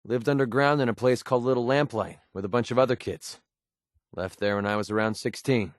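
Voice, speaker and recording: A man's voice: medium pitch, fairly quick, dry recording, natural voice. A male actor playing a cocky, guarded young mercenary sharpshooter in a video game, with casual, wry, slangy delivery. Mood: candid, subdued. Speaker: voice actor for a game companion character. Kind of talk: video game voice lines, combat barks and confessional dialogue.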